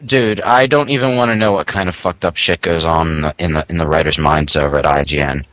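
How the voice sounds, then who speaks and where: A man's voice: extremely low pitch, fairly even intonation, fairly quick, a bit bright, no room sound, rough recording. A man co-hosting a casual group commentary in a crude, sarcastic way.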